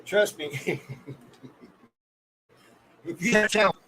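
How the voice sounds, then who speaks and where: A man's voice: high voice, slow pace, fairly bright tone, a little hiss or hum. An American man hosting a casual livestream, talking in a folksy, colloquial way and addressing his viewers by name. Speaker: livestream host.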